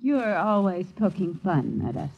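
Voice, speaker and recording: A woman's voice: fairly low pitch, sing-song, unhurried, vocal fry, husky, exaggerated voice. An American woman acting a suspicious, miserly landlady in a 1950s radio drama, urgent, defensive and plain-spoken. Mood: wary, defensive. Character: scheming, suspicious, miserly landlady, sharp with husband. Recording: dull, dry 1950s radio recording.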